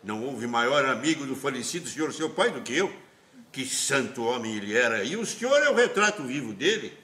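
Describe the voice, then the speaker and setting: A man playing a smooth-talking, flattering trickster in a comic radio farce, fawning and effusive. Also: medium pitch, brisk. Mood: oily flattery. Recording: roomy, echoing radio play recording.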